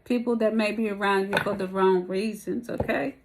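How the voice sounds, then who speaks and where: A woman's voice: mid-range voice, steady tone, normal speed, vocal fry, background noise. A woman giving a casual, rambling tarot reading to her viewers while losing her voice. She talks in slang and calls her listeners baby and y'all. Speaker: tarot reader.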